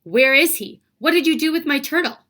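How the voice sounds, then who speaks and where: Storybook schoolboy, upset and excitable, voiced by a woman reading aloud. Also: on the high side, a little slow, voice pushed up. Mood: pleading.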